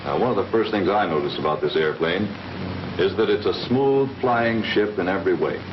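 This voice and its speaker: A man's voice: deep voice, a little fast, lightly acted. A man playing a confident American Army captain, plainly and methodically instructing pilots in the style of a 1940s training film. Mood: matter-of-fact. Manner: plain-spoken, methodical explaining. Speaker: flight instructor, military captain. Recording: noisy, dull 1940s film soundtrack.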